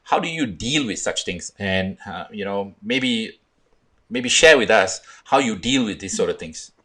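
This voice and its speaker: A man's voice: lowish voice, animated, measured pace, fairly bright tone. A man hosting a sports interview show, asking long, rambling questions in a chatty, joking way. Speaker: podcast host.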